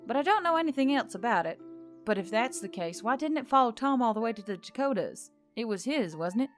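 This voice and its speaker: A woman reading as a stubborn, sharp-witted adventuress. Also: fairly high pitch, a little fast, soft background music, natural voice. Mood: serious. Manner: flat, probing, sarcastic.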